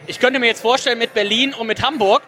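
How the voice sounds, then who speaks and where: A man's voice: extremely high pitch, steady tone, quick pace, pushed and bright, soft background music. A man interviewing a guest, speaking fluently and asking long, enthusiastic questions.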